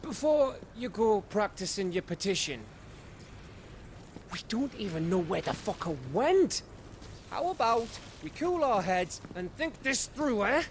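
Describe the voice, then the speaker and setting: High-pitched, gravelly, rough adventurer. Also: male voice, measured pace, slight background noise, heavily acted. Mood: exasperated, urging calm. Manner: sweary, colloquial, expressive. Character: rough, foul-mouthed, wary male fantasy adventurer companion. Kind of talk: video game cutscene acting.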